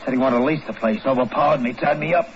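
A muffled, slightly hissy 1950s radio recording features a man acting a crooked innkeeper. He talks quickly in clipped, blustery bursts, like a double-dealer protesting his innocence. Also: mid-range voice, close to an everyday voice. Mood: indignant.

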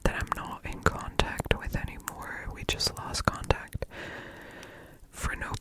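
A German native speaker whispers in English with an American-leaning accent, every word soft and unvoiced, in a slow, unhurried ASMR style. The talk rambles gently, with pauses and little fillers, over a faintly scratchy throat. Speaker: ASMR creator.

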